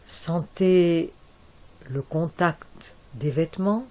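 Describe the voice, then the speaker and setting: A woman guiding a yoga nidra relaxation in a soft, calm voice with long pauses. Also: lowish voice. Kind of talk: guided relaxation.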